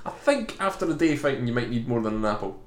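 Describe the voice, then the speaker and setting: A man's voice: medium pitch, very animated, fast talker, roomy sound. A man co-hosting a casual film commentary chat, joking and laughing often. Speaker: podcast co-host.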